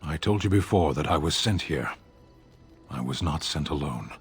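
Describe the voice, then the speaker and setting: Grave, blunt fantasy game warrior, male, very low pitch, measured formal delivery, music behind. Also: animated, slightly rough, exaggerated voice. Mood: stern, reserved. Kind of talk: video game dialogue acting.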